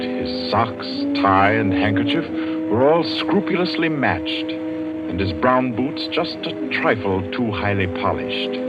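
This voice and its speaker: A man announces and narrates a 1940s radio suspense drama in a dramatic, ominous, measured manner with suspenseful pauses. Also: fairly low pitch. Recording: muffled vintage radio broadcast. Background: loud dramatic orchestral music.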